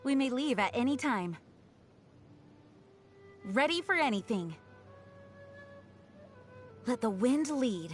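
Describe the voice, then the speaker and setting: Slow, lilting game adventurer. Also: female voice, on the high side, expressive, soft background music. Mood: calm, watchful. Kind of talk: video game voice acting.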